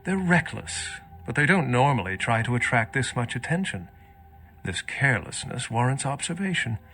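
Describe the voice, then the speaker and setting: An actor playing a self-assured, scholarly loner vampire in a video game, speaking in an erudite, wry, measured manner. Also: male voice, medium pitch, very animated, sharp tone, faint music behind. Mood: wry, pensive. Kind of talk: dramatic video game voice acting.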